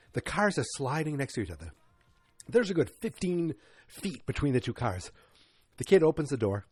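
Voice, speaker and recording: A male podcast host talks through a film review in a loose, sarcastic ramble, breaking off mid-thought. His voice is slightly husky and sits at a middle pitch. It sounds dry and close on the mic. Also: a little mellow, dry recording. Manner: rambling, sarcastic, self-interrupting. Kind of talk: casual solo movie review.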